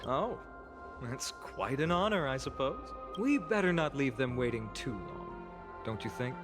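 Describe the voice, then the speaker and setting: A young game hero, talks in a mid-pitched, very animated voice over music. His manner is casual and wry, carefree on the surface yet sincere. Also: a little slow. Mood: teasing. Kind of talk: dramatic video game voice acting.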